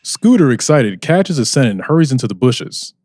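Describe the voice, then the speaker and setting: A man narrating a scripted comic radio serial with animated storytelling. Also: medium pitch, normal speed. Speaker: narrator of an audio drama.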